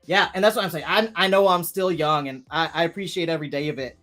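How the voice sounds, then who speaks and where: A man's voice: a little high, steady tone, quick pace, sharp tone, soft background music. A man in his thirties hosting a live stream, chatting casually and rambling with many restarts while reading viewer comments. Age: 30s.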